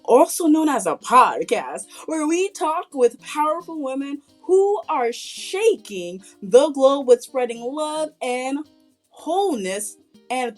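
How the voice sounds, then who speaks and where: Slow-talking woman podcast host. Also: high-pitched. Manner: slow, deliberate, emphatic introductions. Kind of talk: podcast interview hosting.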